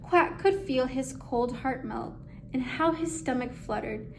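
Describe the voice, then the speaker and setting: A woman reading a picture book aloud expressively, with measured, dramatic phrasing. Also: high-pitched, vocal fry, a little hiss or hum. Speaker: story reader.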